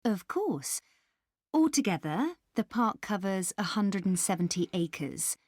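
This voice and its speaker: Slow, lively, helpful park guide woman. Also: mid-range voice, dry recording, clean studio sound. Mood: helpful, informative. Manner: clear explaining, helpful, deliberate. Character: helpful, informative female country park staff member. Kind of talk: scripted listening-test dialogue.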